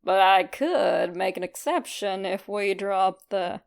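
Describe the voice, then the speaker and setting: Woman voicing a gruff, gravelly, smug skeleton guy. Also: medium pitch, takes it slowly, voice pushed down. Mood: flirty. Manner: slangy tough-guy drawl with dropped grammar. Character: gruff, smug, flirty male skeleton monster.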